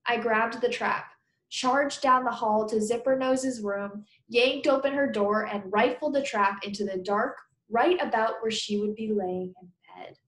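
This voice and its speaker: A woman's voice: on the high side, measured pace, fairly bright tone. Woman teacher reading aloud in echoey room. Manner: conversational, explanatory asides. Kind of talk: children's read-aloud with questions to pupils.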